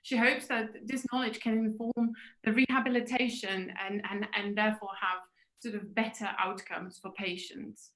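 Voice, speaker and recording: A woman hosting an online talk event, introducing speakers and asking questions in a warm, chatty way. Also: mid-range voice, level tone, moderate pace, low-quality recording. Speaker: event host.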